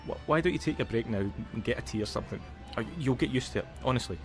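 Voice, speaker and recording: An actor playing a friendly, teasing Scottish visitor centre manager in an audio drama, easygoing and chatty, with music behind him. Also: male voice, medium pitch, animated, very fast, natural voice. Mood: reassuring. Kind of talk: naturalistic audio drama dialogue.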